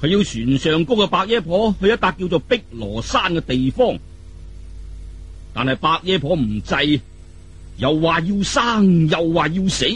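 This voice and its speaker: A man telling a story in colloquial Cantonese, in the animated manner of a traditional oral storyteller. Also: a little high, a little slow, slightly rough.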